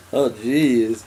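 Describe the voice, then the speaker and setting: A man's voice: mid-range voice, mellow, a little hiss or hum. A man giving casual, laid-back commentary as he plays a video game, muttering half-thoughts to himself. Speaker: gaming video host.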